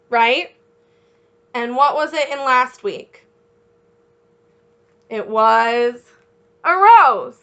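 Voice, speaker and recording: A woman reading a picture book aloud as a children's teacher, encouraging young listeners with questions and pausing for their answers. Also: on the high side, fairly bright tone, lo-fi sound.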